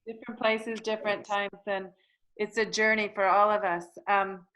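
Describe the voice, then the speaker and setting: A woman's voice: mid-range voice, level tone, background noise. Hesitant woman moderating a panel. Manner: hesitant, halting, thinking aloud. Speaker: host moderating an online panel. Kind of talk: facilitating a group discussion. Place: online video call.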